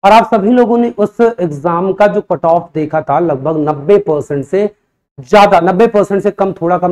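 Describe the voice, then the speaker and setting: A man's voice: sits high, darkish tone. A man, talking earnestly and conversationally to students as a coaching teacher, often posing rhetorical questions. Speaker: exam-coaching teacher and presenter. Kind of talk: explanatory talk to students. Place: indoor coaching studio in front of a digital board. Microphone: lapel mic.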